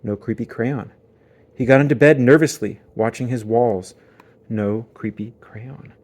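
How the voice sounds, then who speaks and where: A man narrating a children's book aloud as dramatic storytelling, with theatrical emphasis and suspenseful pauses. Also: mid-range voice, a little mellow.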